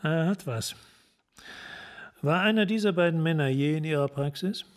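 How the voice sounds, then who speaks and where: An actor playing a hard-boiled police sergeant in a German radio crime drama, speaking tersely and directly. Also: male voice, on the high side, normal speed, natural voice. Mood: coolly probing. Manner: terse, direct, clipped sentences.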